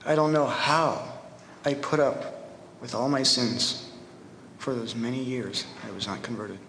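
A young man from Ohio giving an earnest, halting testimony at a Bible school and reading a short poem he wrote. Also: mid-range voice, fairly even intonation, a bit bright, a little hiss or hum. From Ohio, USA. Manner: earnest, halting, reads his poem slowly. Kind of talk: spoken testimony and recited poem. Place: church hall. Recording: echoey hall with long reverb.

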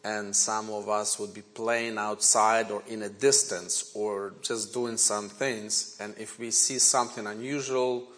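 A man in his forties with a Ukrainian accent, a native Ukrainian speaker, preaching a sermon woven with his own testimony in an earnest, measured way. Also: on the low side, little pitch movement, a bit bright. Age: 40s. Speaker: guest preacher.

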